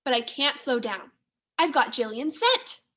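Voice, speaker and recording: Female storybook reader, teacherly and expressive; unhurried, very animated delivery. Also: high voice. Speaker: second grade teacher reading aloud. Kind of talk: children's story read-aloud.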